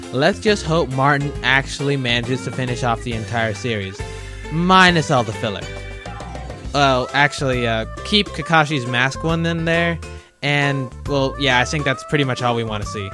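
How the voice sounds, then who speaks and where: Animated man doing casual review commentary. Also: mid-range voice, moderate pace. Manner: wry, conversational, rhetorical asides. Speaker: host of a countdown review video. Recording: music behind the voice. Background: guitar music.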